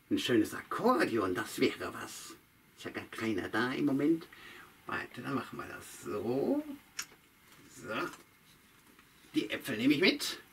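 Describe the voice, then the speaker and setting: Sly old witch, chatty and slow, lively pitch, heard from a distance, as a puppeteer puts her on. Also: breathy edge, full character voice. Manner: chatty, rambling to herself, hums tunes. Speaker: puppet show character.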